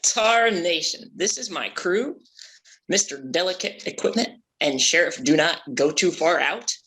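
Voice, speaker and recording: A gruff, stubborn boat captain acted out at a table read, with a bright, forceful voice in a middling register. The delivery is folksy, commanding and thick with sarcasm. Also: mid-range voice, expressive, a little slow, rough recording. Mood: blustering. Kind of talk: amateur script table read.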